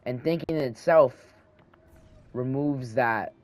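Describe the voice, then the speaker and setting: A man's voice: mid-range voice, slow pace, darkish tone. Rambling teenage boy gamer. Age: teens. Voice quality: slight lisp. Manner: meandering, thinking aloud, frequent restarts. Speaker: gaming channel host. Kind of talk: rambling casual talk over gameplay. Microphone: handheld microphone.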